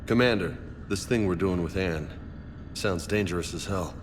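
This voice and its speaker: A man playing a blunt, gruff male marine. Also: sits low, normal speed, lightly acted. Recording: distant, reverb and noise.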